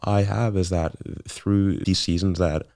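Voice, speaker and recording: A young man from South Florida, speaking as an interview guest in a reflective, earnest, measured and somewhat halting way. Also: low voice, fairly even intonation, darkish tone. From South Florida, born in Jamaica. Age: young adult. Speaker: podcast guest, medical student.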